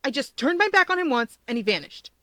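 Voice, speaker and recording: Woman voicing an overwrought young man, pitched up. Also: sing-song, fast talker, a bit bright, dry recording. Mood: panicked, miserable. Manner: blurting, distressed. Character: anxious young man, overwrought and miserable friend.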